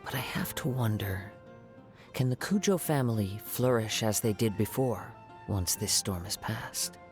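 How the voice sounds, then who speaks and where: A man playing a gentle young wandering samurai poet in a video game, soft-spoken, measured and reflective, with a calm, wistful air. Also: lowish voice, soft background music. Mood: pensive, resolute. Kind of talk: video game character voice lines.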